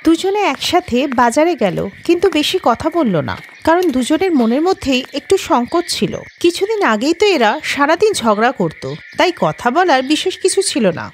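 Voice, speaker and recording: A woman narrating a scripted children's story in an expressive storytelling manner. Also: sits high, moderate pace, few pauses, slight background noise.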